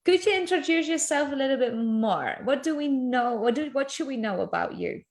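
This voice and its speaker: A woman's voice: high-pitched, lively intonation, brisk. A woman hosting a live online interview, introducing her guest in a friendly way and laughing often. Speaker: host.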